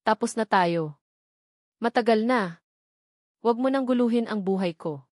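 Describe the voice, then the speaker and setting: A woman's voice: a little high, normal speed, no echo, clean studio sound, close to an everyday voice. Curt, guarded woman doctor, as a narrator voices her. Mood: cold, firm. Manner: curt, firm. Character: wounded woman doctor, cold and guarded toward former lover.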